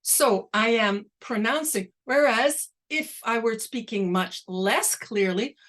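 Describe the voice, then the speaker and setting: A woman whose first language is Dutch, speaking deliberately and a little slowly as an invited academic answering questions on a video call. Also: on the high side, a bit bright. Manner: deliberate, slightly slowed and exaggerated. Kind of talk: online academic talk, Q&A.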